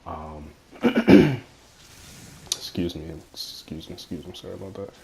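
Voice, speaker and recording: A young man with a cold and a raspy voice, rambling through casual gameplay commentary into a phone headphone mic, full of fillers and swearing. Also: low-pitched, huge pitch swings, measured pace, a little mellow. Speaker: gaming YouTuber.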